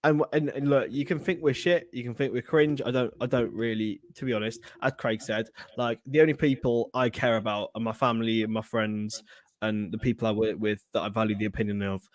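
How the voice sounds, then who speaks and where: A man's voice: mid-range voice, steady tone, a little fast. A young Englishman co-hosting a casual podcast, rambling with many restarts. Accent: non-Scouse English. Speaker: podcast co-host.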